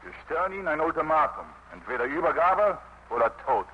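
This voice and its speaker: A man's voice: a little high, big pitch swings, fast. A man playing a guarded enlisted soldier held prisoner, in a 1950s radio drama. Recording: muffled noisy old radio broadcast.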